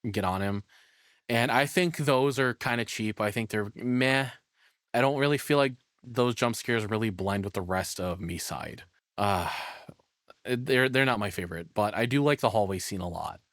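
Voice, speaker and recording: A man's voice: on the low side, moderate pace, fairly bright tone, no room sound, studio quality. A man giving casual, off-the-cuff reaction commentary in a rambling, self-deprecating way. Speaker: reaction streamer.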